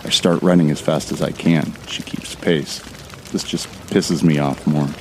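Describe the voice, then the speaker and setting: A man's voice: very deep voice, steady tone, measured pace, background noise. An actor playing a tense, bitter man who narrates his horror ordeal in a brooding, profane first person. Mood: angry. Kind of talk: scripted audio drama narration. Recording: music behind the voice.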